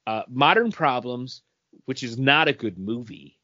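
Male podcast co-host, mid-pitched, casual and blunt, on a dry recording. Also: expressive, a little slow, sharp tone, no room sound, highs cut off. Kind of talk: casual comics and film chat.

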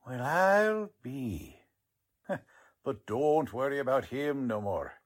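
Easygoing tough cop, acted by a man, slow drawling delivery, lively swings in pitch, old radio play. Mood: amused, reassuring. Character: rough, easygoing 1940s police lieutenant, reassuring tough guy. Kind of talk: 1940s radio drama acting.

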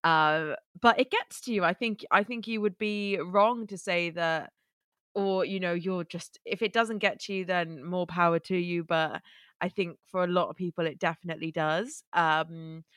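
Low-voiced chatty Welsh-born woman. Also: steady tone, normal speed, fairly bright tone, dry recording, studio quality. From Wales. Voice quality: chatty, with many fillers and rephrasings. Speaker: podcast co-host. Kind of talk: casual chat.

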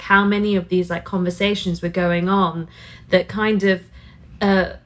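A woman's voice: medium pitch, fairly bright tone. A woman interviewing a guest in a casual, earnest way, using "like" often as she talks. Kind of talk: conversational interview.

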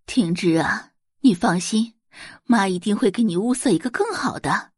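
A woman's voice: medium pitch, animated, moderate pace, breathy edge, dry recording, clean studio sound. A woman voicing a wealthy, fussy mother of a grown son in an audio drama, coaxing and emphatic.

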